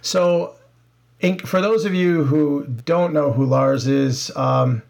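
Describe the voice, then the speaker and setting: A male podcast host chats with his guest in a friendly, halting way, full of "uh"s and restarts. His voice is gravelly and fairly flat in pitch. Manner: friendly, halting with many fillers. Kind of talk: casual interview.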